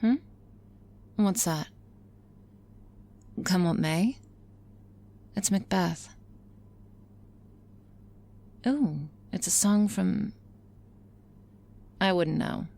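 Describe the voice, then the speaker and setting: A woman plays a confident female office boss in an intimate ASMR roleplay. Her voice sits fairly low with plenty of fry. She speaks frankly and swears freely, musing with wry pauses as if to one listener. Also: warm tone, heavily acted. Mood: teasing, wry.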